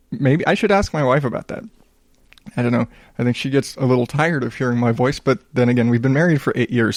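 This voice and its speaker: A man hosting a solo podcast in a smooth voice, chatting casually in a low-key, rambling, self-deprecating way with some swearing. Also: a little mellow, no room sound, studio quality. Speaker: podcast host.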